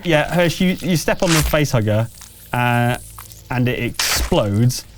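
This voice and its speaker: A man running a tabletop game as game master, narrating off the cuff in a hesitant, halting way. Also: mid-range voice, expressive, background noise. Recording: music behind the voice.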